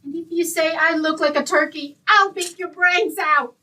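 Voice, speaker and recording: A woman's voice: very high voice, steady tone, moderate pace, bright, forceful tone, far from the mic. A woman playing a vain, gabby, prickly landlady in old-time radio comedy style. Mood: indignant. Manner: haughty, indignant, effusive.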